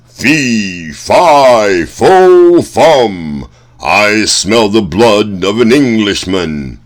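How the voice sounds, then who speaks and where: A man voicing a huge, scary, man-eating giant in a storybook, with a roaring, booming chant. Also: sing-song, exaggerated voice. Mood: menacing, roaring. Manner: slow, drawn-out menacing chant. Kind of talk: storybook read-aloud.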